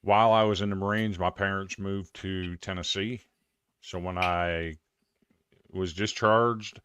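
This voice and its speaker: A man's voice: lowish voice, pushed and bright, clear, resonant tone, no echo. A man in his fifties with an American accent, telling stories in casual sports talk as a show guest. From Iowa, United States. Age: 50s.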